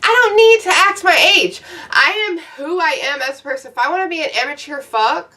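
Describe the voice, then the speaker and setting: Thirties woman ranting on her vlog, cussing, bright kinda high voice, mic a bit far off. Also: fairly quick. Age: 30s. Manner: blunt and profane, loose and rambling. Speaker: YouTuber. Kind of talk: casual advice rant to camera.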